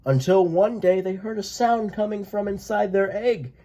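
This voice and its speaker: A person reading a children's picture book aloud in a gentle, expressive way. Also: medium pitch, normal speed. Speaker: read-aloud storyteller.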